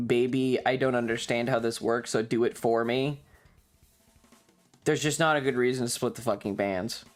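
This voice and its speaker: A man chatting casually as a streamer, telling stories in an exasperated, rambling, sweary rant. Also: mid-range voice. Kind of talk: casual stream chat, ranting storytelling.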